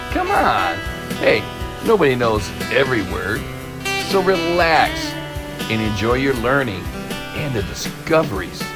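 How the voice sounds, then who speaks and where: A man presenting a scripted introduction, encouraging young readers and speaking directly to the listener. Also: mid-range voice. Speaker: reading lesson presenter. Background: prominent guitar music.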